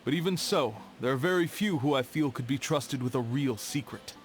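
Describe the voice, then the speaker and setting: A man playing a serious, guarded scholar in a video game, speaking in a measured, formal way. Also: medium pitch, a little hiss or hum, close to an everyday voice. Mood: guarded, stern. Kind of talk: video game character acting.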